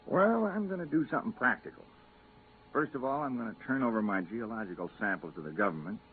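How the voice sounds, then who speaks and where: Animated, wisecracking male spaceman, played by an actor. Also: medium pitch, fast talker, natural voice. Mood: joking. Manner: breezy, sardonic. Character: wisecracking, cynical, grumbling male moon geologist. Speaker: radio drama actor. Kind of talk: 1950s radio drama acting. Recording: tinny, hissy 1950s radio recording.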